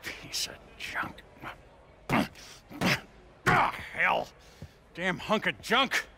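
A man's voice: sits high, very animated, very slow, bright, forceful tone, a little hiss or hum, full character voice. An actor playing a cranky, impatient man in a video game, blunt and irritable. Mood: irritated. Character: cranky, impatient man fighting with old gadgets. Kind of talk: acted video game dialogue.

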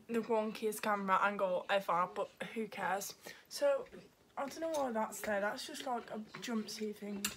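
A teenage girl chatting casually in a home vlog from her bedroom, rambling with plenty of fillers. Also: fairly high pitch. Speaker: vlogger. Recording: noisy home video.

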